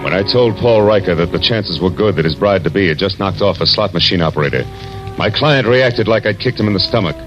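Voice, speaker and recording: A dull vintage radio recording with music behind it carries a hardboiled American private eye. His voice is low and he talks fast, clipped and sardonic, in the style of 1940s radio narration. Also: lively intonation. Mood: wry, grim. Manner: clipped, hard-boiled, sardonic. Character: tough, wisecracking male private detective.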